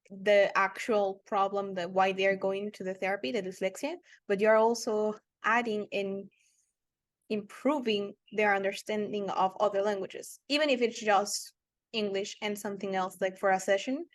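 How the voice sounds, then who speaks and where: A woman's voice: mid-range voice, fairly even intonation, moderate pace, dry recording. A woman hosting a casual livestream interview, speaking in an effusive, admiring way. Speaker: interviewer, show host.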